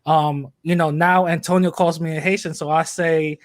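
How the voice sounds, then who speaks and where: A man's voice: on the high side, flat delivery, normal speed, dry recording. A young man, chatting casually as a podcast guest, joking and rambling with many fillers. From New York City, USA. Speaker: podcast host, interviewee. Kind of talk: casual interview chat.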